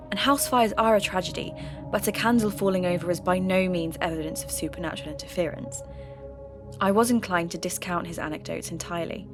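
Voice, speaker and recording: Music plays clearly beneath an actress as a female investigative radio host with a fairly low voice. She narrates in measured, articulate sentences, dry and sceptical, in scripted mystery podcast style. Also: lightly acted.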